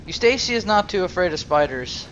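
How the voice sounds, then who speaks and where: A man giving role-play commentary on a video game, musing and halting as he thinks aloud. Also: sits high, expressive, highs cut off, very noisy. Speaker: gaming video host. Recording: game music behind the voice. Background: video game music and effects.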